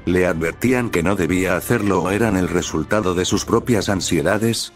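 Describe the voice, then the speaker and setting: A man reading a fanfiction story aloud as scripted narration, measured and delivered in short phrases. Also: deep voice. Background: soft background music.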